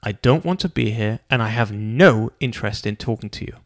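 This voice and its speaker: A man voicing a gruff, bitter blind old man who growls and answers curtly. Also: fairly low pitch, lively intonation, brisk, no echo, clean studio sound. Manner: growling, curt.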